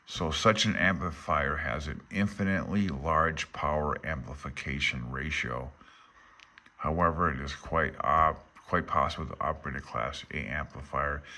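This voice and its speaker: A man with a very deep voice that still has a bright, forceful edge. He talks like an informal instructor, alternating between reading aloud and explaining in his own words. His delivery is folksy and offhand, as if thinking out loud. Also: creaky. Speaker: instructor reading through a radio handbook.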